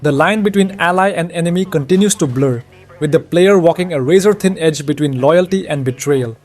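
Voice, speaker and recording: A man's voice: a little high, brisk, a little mellow. A man reading scripted narration that recaps a video game's story.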